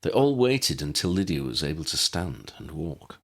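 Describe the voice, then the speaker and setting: A man reading scripted story narration in an expressive storytelling manner. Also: extremely low pitch, normal speed, vocal fry. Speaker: audiobook narrator.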